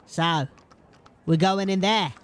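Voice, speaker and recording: An actor playing a tough, wary gang lieutenant in a fantasy audio drama, speaking in a guarded and ominous manner. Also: male voice, high voice, takes it slowly, a bit bright, slight background noise.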